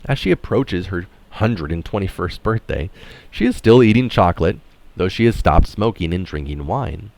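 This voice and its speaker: A man's voice: low voice, big pitch swings, moderate pace, gravelly, a little mellow, no room sound. A man reading an article aloud in narration style, dropping in casual, opinionated asides of his own. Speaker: reader of an article adding his own comments.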